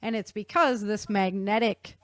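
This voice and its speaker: A woman explaining things in an animated, conversational way with casual slang. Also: mid-range voice, a little slow, dry recording. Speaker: guest author explaining research.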